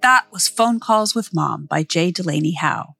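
Lowish-voiced woman hosting, very dry sound. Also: expressive, unhurried, vocal fry, pushed and bright, dry recording, soft background music. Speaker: podcast host, interviewer. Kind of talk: podcast introduction and interview.

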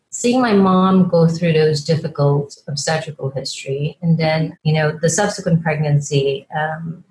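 A low-voiced woman from Guyana, a fertility doctor, speaks as a podcast guest. She talks in a measured, storytelling way, with frequent fillers. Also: a little mellow, rough recording. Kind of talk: podcast interview.

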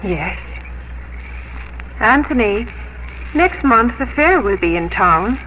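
A woman's voice: on the high side, expressive, slow pace, a little hiss or hum. An actress playing an earnest, devoted young farm woman in love, tender and restrained, in 1950s radio drama style. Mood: wistful, hopeful. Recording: muffled old radio broadcast, music underneath.

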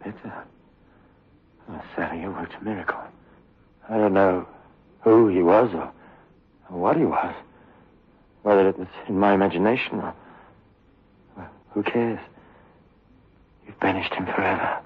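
Husky, stammering, tormented young man. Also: deep voice, unhurried, long pauses, full character voice. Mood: tender, hushed. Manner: stammering, pleading, overwrought. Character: tormented, obsessive young man haunted by nightmares. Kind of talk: 1960s radio horror acting. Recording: muffled old radio recording.

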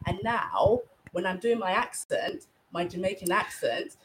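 A woman in a casual group podcast discussion who lays out a point in a measured, explanatory way. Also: on the low side, animated, noisy background.

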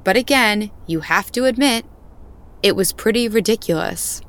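An actress playing a skeptical, worried friend with a wry, teasing manner in an audio drama. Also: female voice, medium pitch, animated, moderate pace, close to an everyday voice.

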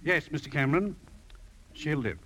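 Higher-voiced male ship's doctor. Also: animated, moderate pace, fairly bright tone. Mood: grave, reassuring. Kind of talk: 1950s radio drama acting. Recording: faintly noisy old radio recording.

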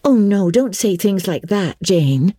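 A woman's voice: mid-range voice, animated, takes it slowly, dark tone, breathy edge, no echo, studio quality, pitched above the performer's own voice. A woman voicing an earnest, dreamy sixteen-year-old schoolgirl with a breathless, emphatic way of talking, in a storybook reading. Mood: modest, earnest.

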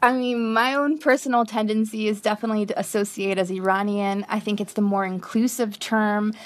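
A woman in her thirties answers interview questions as a guest expert, chatty and animated. Her voice sits at an everyday middle pitch, and she explains things at length in a relaxed, talkative way. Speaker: guest sociologist.

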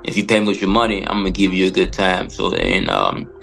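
A man speaking as a comedian guest on a podcast, answering casually and reflectively, often halting and repeating words. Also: sits low, sing-song, creaky, a bit bright, faint music behind.

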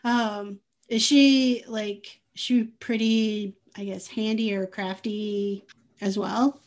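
Slow-talking woman interviewer. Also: mid-range voice, fairly even intonation, darkish tone. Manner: chatty, easy laughter, uses "like" a lot. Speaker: podcast host. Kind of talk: casual interview.

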